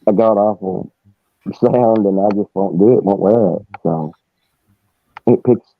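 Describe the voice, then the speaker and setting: A man's voice: on the low side, measured pace. A man casually recounting personal experiences as a guest, rambling and searching for words. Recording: dry, thin phone-like line.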